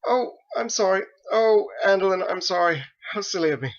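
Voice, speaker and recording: A man's voice: sits high, expressive, normal speed, highs cut off, pitched above the performer's own voice. A man voicing a timid, apologetic, easily frightened woman in a fantasy audiobook, meek and flustered. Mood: embarrassed.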